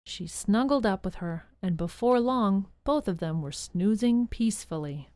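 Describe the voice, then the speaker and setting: A woman's voice: mid-range voice, animated, measured pace. A woman narrator reading scripted storybook narration for an audio drama. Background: music behind the voice.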